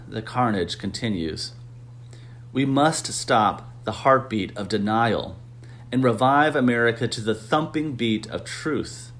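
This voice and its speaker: A man reading an essay aloud in a steady, solemn way. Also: medium pitch. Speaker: reader.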